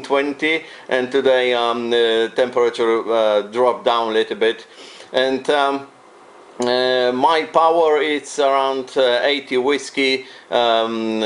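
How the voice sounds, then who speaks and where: A man with a Polish accent chats as an amateur radio operator, friendly and polite, pausing and repeating phrases as he goes. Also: medium pitch. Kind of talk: casual amateur radio contact.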